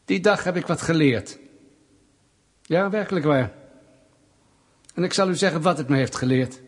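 A husky, fairly high-voiced male secret police interrogator in a radio drama. He is mock-polite and menacing, drawing out his words with pointed pauses and insinuation. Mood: quietly menacing. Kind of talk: radio play acting.

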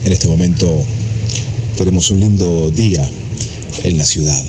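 Man, very deep voice, brisk, noisy background. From Argentina.

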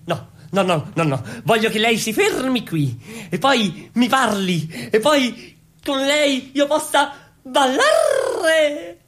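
A woman's voice: a little high, sing-song, slow pace, heavily acted. A woman playing a naive, lovestruck male rebel in exaggerated, clownish comic theatre. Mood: excited. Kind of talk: theatrical comic stage acting.

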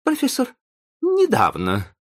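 Storybook role: desperate, agitated young man played by a male narrator, pitched high, wildly animated, exclamatory and pleading. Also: slow, exaggerated voice. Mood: agitated, insistent.

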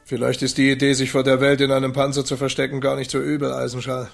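An actor playing a droll, plain-spoken old veteran knight, deadpan and musing, in a satirical radio play. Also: male voice, medium pitch, fast, creaky, over music, natural voice. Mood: musing, skeptical.

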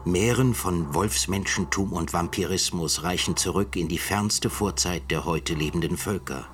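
Deep-voiced, grave scholarly author. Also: male voice, normal speed, a bit bright, a little hiss or hum. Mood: grave, reflective. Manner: formal, rhetorical. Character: grave, scholarly male author reading his essay. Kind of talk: literary reading in radio drama.

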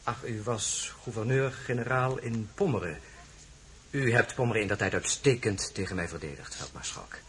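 An actor playing a commanding, impatient French prince and marshal in a radio play, urgent yet dignified and formal. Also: male voice, lowish voice, normal speed, background noise, close to an everyday voice. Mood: formal, solemn.

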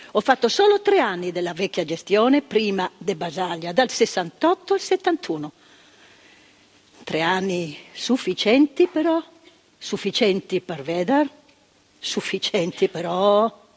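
A woman's voice: medium pitch, breathy edge. An actress playing a former woman asylum nurse, telling her memories in a plainspoken stage monologue that repeats phrases for emphasis. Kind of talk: theatrical monologue of remembered testimony. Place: theatre stage. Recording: long stage reverb, radio broadcast.

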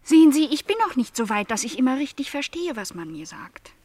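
An actress playing a naive, earnest young housemaid in a radio drama, plain-spoken and politely sceptical. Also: female voice, sits high, very animated, brisk, a little mellow. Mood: guarded, plain. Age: young adult. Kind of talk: literary radio drama dialogue.

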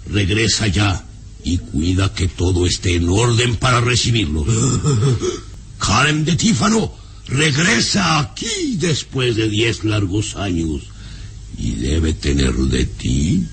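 A man's voice: fairly low pitch, wildly swooping pitch, takes it slowly, a bit bright, slight background noise, heavily acted. A man playing an imperious, scornful baron and father in a theatrical, haughty, commanding radio serial style. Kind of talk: old radio serial acting.

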